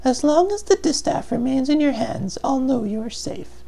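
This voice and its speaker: An actress playing an elderly, motherly weaver guardian in a video game, speaking in a grave, portentous, deliberate way. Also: high-pitched, lively intonation, vocal fry, warm tone, full character voice. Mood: reassuring, instructing. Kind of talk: dramatic video game voice acting.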